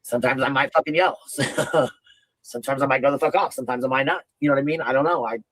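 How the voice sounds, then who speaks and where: A man with a deep voice hosting a casual livestream commentary, rambling and profane, often asking "you know what I mean.". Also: fast, a bit bright, no room sound.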